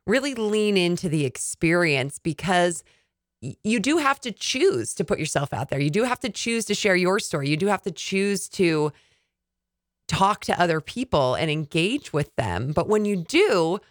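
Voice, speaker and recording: A woman hosts a podcast with a fairly low voice and a dry, close sound. She speaks enthusiastically and draws out her guest with questions. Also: sing-song, normal speed, dry recording. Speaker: podcast host. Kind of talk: podcast interview.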